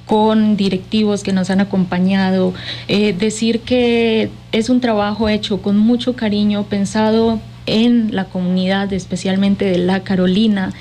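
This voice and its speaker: A woman's voice: medium pitch, flat delivery, measured pace, mellow, a little hiss or hum. A woman with a Colombian accent speaking effusively and affectionately as a guest in a conversational interview. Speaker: interview guest, cultural manager.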